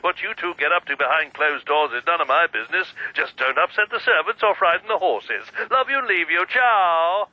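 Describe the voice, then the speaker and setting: Radio drama: male actor as gossipy British theatrical agent, lightly high, theatrical and breezy, very dry close sound. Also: lively intonation, moderate pace, hardly any pauses, no room sound, lo-fi sound, natural voice. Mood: teasing.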